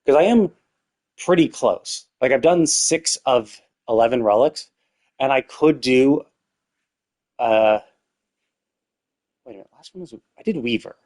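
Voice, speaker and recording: A man's voice: mid-range voice, a little slow, pauses often, a little mellow. A man chatting casually on a gaming livestream in a rambling, conversational way, with loose, casually profane talk. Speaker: live streamer.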